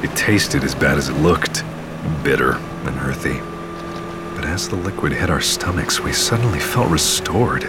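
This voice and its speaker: A man's voice: a bass voice, moderate pace, very noisy. An actor playing a weary male crash survivor, narrating his story in a first-person audio drama. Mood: somber, reflective. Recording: loud boat motor and water behind. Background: boat engine and sea ambience.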